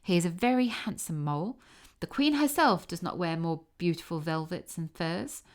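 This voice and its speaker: A woman voicing a bossy female field mouse in a storybook, scolding briskly and insistently. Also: on the low side, lightly acted.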